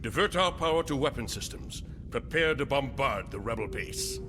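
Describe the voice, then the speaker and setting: A man playing a stern, dismissive Imperial captain in a space opera audio drama, with clipped, commanding military delivery over loud music. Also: mid-range voice, expressive, moderate pace, noisy background, natural voice. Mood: haughty command.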